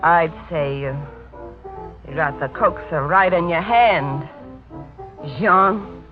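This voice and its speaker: A tough, fatalistic dame from a 1940s radio mystery. She speaks slowly, with hard-boiled resignation. The recording is thin and tinny. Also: fairly low pitch, over music. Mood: guarded. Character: tough, fatalistic female shooting gallery worker.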